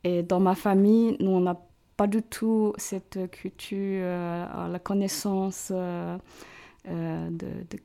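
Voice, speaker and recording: A middle-aged woman tells her story slowly and unhurriedly. Her voice is mellow and stays level in pitch, and she pauses often to find her words. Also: little pitch movement, gravelly. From Hong Kong, China. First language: Chinese. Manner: hesitant, searching for words with many fillers. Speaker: parish council president. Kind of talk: radio interview, personal testimony.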